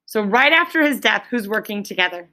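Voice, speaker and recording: A woman's voice: medium pitch, expressive, fairly quick, noisy background. A woman teacher reading aloud to her class in an instructive way, stopping to prompt pupils with check-in questions. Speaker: elementary school teacher.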